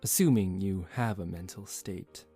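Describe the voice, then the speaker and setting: A man voicing a suave, condescending young male vampire in a soft-spoken ASMR roleplay, calm and teasing. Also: deep voice, measured pace. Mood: nonchalant, teasing. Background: relaxing background music.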